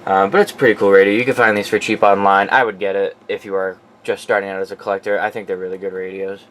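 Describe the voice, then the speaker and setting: Low-voiced guy casually showing off gear. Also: flat delivery, fast, fairly bright tone. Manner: informal, profane. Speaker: collector presenting his weather radio collection. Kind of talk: casual off-the-cuff show-and-tell.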